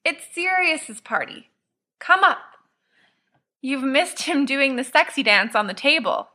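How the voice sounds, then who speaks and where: Woman as a bossy, high-voiced teenage boy ringleader. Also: animated, bright, forceful tone, full character voice. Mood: tipsy, insistent. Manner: brisk, insistent, blunt. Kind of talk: fan fiction audiobook character.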